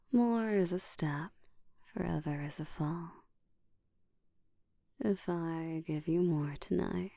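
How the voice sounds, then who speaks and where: Actress as commanding female vampire for roleplay audio: very slow, breathy whisper, dark low tone, teasing control. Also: no echo, studio quality, heavily acted.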